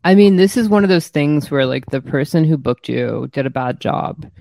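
Riffing male co-host, highish voice, close and dry. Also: animated, moderate pace, gravelly, a little mellow, no echo. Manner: teasing, riffing, emphatic, frequent filler "like". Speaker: podcast co-host. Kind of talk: casual comedic banter.

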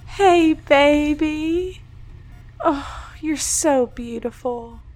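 A woman's voice: high-pitched, extremely slow, a little mellow, soft background music. A woman playing a tender young mother in an audio drama.

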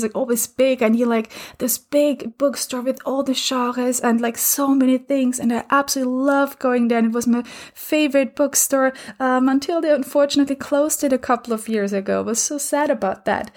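A woman's voice: on the high side, a little mellow. Reflective woman hosting a podcast. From Austria. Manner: reflective, many fillers. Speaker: podcast host. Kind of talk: solo podcast monologue.

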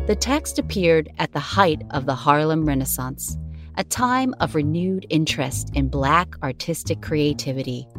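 A woman reading a scripted podcast narration as its narrator, with measured, emphatic phrasing. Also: low-pitched. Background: music under the voice.

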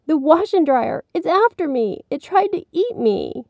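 A woman's voice: extremely high pitch, animated, normal speed, no room sound, muffled recording, voice pushed up. A woman voicing a timid but determined small boy in a storybook, with a childlike, pleading manner. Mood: scared, pleading.

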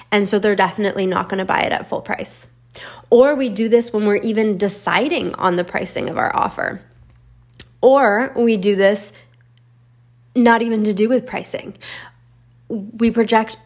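Female podcast host and coach, a little raspy and under the weather, mid pitch, informal, emphatic solo riffing. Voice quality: slightly raspy from being sick. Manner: informal, emphatic, repeats phrases for stress. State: sick.

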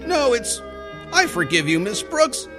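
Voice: mid-range voice, very animated, unhurried, over music. An actor playing a scheming, slangy teenage schoolboy in an old-time radio comedy, theatrical and wheedling. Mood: mock-wounded.

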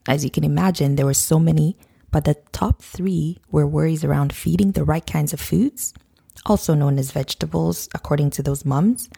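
A woman hosting a parenting podcast, talking straight to listeners while croaky from a cold. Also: deep voice, dark tone. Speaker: podcast host, nutrition counselor. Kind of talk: scripted podcast intro, then casual talk to listeners.

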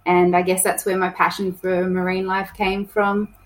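A woman's voice: lowish voice, little pitch movement, normal speed. A woman, a conservation project coordinator, talking chattily as a podcast guest with frequent ums and restarts. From New South Wales, Australia. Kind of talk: casual podcast interview.

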